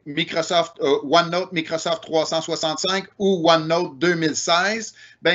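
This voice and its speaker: A man's voice: a little high, fairly bright tone, muffled recording. A man with a Québécois French accent giving an online technical presentation, explaining step by step with frequent "euh" and "ben" fillers.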